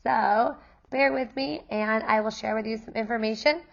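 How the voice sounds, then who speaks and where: Woman, kindergarten teacher, hoarse from losing her voice, friendly parent-night explainer, gravelly tone, dull lo-fi recording. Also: a little high, normal speed. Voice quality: gravelly, worn voice.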